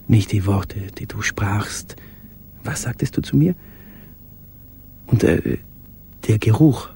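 An actor playing a confined, brooding, tender man who recites letters in a radio drama, hesitant and dreamy, with a breathy half-whisper. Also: mid-range voice, mellow, slight background noise. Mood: searching.

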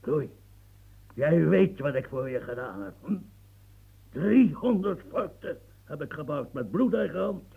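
A gravelly, coughing old marshal. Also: male voice, fairly high pitch, big pitch swings, a little slow, dark tone, exaggerated voice. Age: older. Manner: swings from mumbling to proud outbursts. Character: aging gruff old marshal, proud and blustering. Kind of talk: radio play acting.

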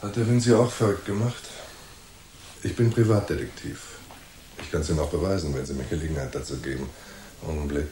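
Deep-voiced, sardonic hard-boiled private detective played by a man. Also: warm tone, lightly acted. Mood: cool, unruffled. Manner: wry, unflappable, mock-courteous. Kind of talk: German radio crime drama, with first-person narration. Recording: roomy echo with faint noise.

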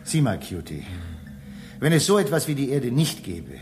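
A man's voice: mid-range voice, very animated, normal speed, over music, close to an everyday voice. An actor playing a station engineer in a German radio drama, earnest and insistent as he argues his case. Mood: patient probing. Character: human station engineer, male, reasoning patiently with a robot.

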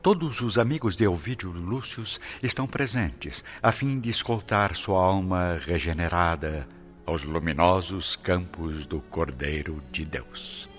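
A man with a Brazilian accent giving solemn, measured, scripted narration for a radio drama. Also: deep voice. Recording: dull lo-fi recording with music bed. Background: soft music.

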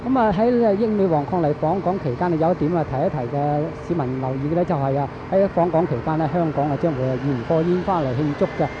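A man with a Hong Kong accent giving live radio news commentary in a halting way, with frequent drawn-out fillers. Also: on the high side. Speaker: radio reporter. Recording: dull, noisy archival radio broadcast.

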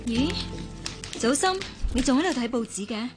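A woman with a Hong Kong Cantonese accent acting a caring, perceptive family confidante in a radio drama, probing and gently admonishing. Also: fairly high pitch, expressive, normal speed, music in the background, lightly acted. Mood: perceptive, questioning.

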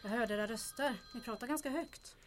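A woman acting a sharp, concerned female confidante in an audio drama. Her fairly high voice is inquisitive and confiding, with a gossipy, wry edge. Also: soft background music, lightly acted. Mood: concerned, curious.

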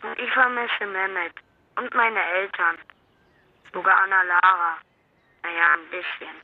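An actress playing an excitable young boy over a telephone filter in a children's radio play. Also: mid-range voice, measured pace. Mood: casual. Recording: tinny, hissy phone line.

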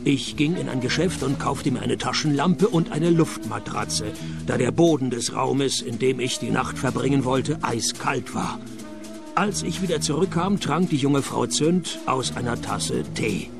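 An actor playing a sardonic male private detective who also narrates the radio play, with a dry, deadpan delivery. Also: medium pitch, a little slow, music in the background. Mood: wry. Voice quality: gravelly. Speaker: narrator and lead.

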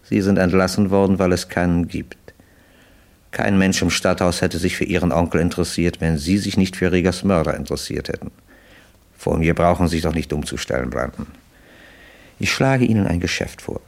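A smooth gangster boss with a very low voice. He speaks with businesslike courtesy and cool menace, in the manner of 1960s radio drama. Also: fairly quick. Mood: smooth, persuasive. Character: smooth, calculating male crime boss proposing deals. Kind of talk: 1960s German radio play acting. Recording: dry 1960s radio studio recording.

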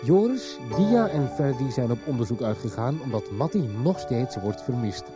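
A man's voice: mid-range voice, animated, normal speed. Male radio drama narrator, scripted recap delivery with music underneath.

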